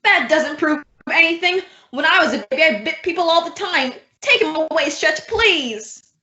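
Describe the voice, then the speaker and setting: Woman acting a high, bright, wisecracking schoolteacher. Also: lively intonation, normal speed. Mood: uneasy, wry. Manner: dry, sardonic quips. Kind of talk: old-time radio comedy acting. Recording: roomy, dull lo-fi sound.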